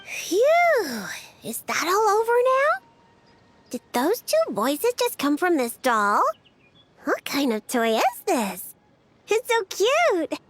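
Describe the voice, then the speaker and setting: A woman voicing a tiny, excitable fairy-like girl companion in a video game, with a squeaky childlike voice and chirpy manner. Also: dry recording, heavily acted.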